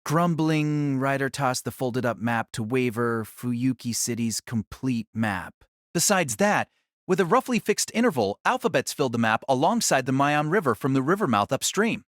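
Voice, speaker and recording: A man's voice: mid-range voice, animated, normal speed, no room sound, studio quality. A man narrating a novel as a scripted audio drama.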